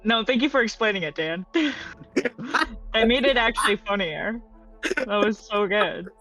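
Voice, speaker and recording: A woman's voice: mid-range voice, normal speed, a bit bright. A woman chatting and roleplaying as a player in a tabletop game, playful and informal. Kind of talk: casual banter and roleplay. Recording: muffled lo-fi, light music behind.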